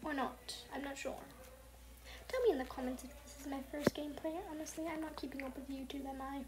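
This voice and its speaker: A young woman hosting a casual gameplay video, talking softly and half-whispered, rambling and apologizing, recorded at home. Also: high-pitched, steady tone, quick pace, heavy background noise. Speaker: gaming channel host. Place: home with family noise.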